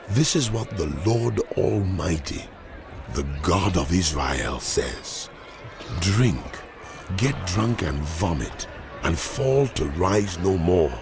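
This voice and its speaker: God as an angry, commanding judge in a scripture drama. The voice is very low, solemn and deliberate. Music plays clearly behind every pronouncement. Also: male voice, exaggerated voice. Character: wrathful, commanding God of Israel pronouncing judgment.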